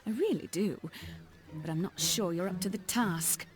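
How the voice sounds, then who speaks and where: A woman's voice: fairly low pitch, moderate pace, faint music behind, close to an everyday voice. An actress playing a cocky, sly female pirate captain in a game cutscene, teasing and tough. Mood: shrewd. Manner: teasing, bold. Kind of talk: video game cutscene acting.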